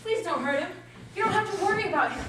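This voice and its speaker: A woman's voice: very high voice, animated, fast. A woman playing an insecure, lovelorn young woman in a stage play, emotional and pleading, recorded from far off in an echoey hall. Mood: hurt. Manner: emotional, pleading, self-pitying. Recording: echoey hall, distant mic, noisy.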